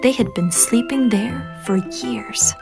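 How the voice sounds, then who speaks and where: A woman narrating a children's storybook in an expressive, measured read-aloud manner. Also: a little high. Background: music.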